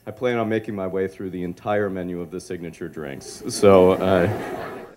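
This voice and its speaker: A man's voice: low-pitched, steady tone, normal speed. A man giving a rambling, hesitant best man toast at a wedding reception. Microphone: handheld mic. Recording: loud room noise.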